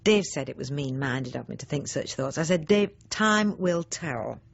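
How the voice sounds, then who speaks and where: A woman's voice: low-pitched, lively intonation, normal speed, vocal fry, full character voice. A woman acting a self-righteous, snobbish middle-aged housewife in a confiding radio drama monologue, prim, pointed and self-justifying. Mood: suspicious. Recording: slightly dull, lo-fi radio recording.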